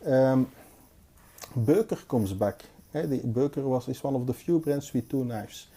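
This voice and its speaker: Mellow, dark-voiced Belgian man reviewing. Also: mid-range voice, husky. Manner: hesitant, halting, unscripted delivery. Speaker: knife collector and reviewer. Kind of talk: casual hands-on review commentary. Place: indoors, at a table.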